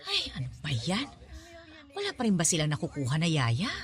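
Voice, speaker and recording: A sleepy middle-aged mother in a radio drama. Her low voice swings widely in pitch as she fusses with motherly concern. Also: huge pitch swings, fairly quick. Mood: weary, fussing. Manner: fussing, motherly.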